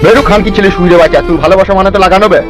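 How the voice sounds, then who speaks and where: A man's voice: high-pitched, fairly even intonation, very fast, natural voice. A man voicing a bitter, ashamed village father in a comedy cartoon, coarse and scolding. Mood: angry. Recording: music behind the voice.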